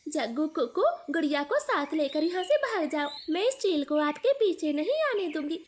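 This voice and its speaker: A protective mother sparrow voiced by a woman in a children's cartoon. Her voice is high and animated, urgent and earnest, with faint noise behind it. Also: normal speed. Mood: urgent, brave.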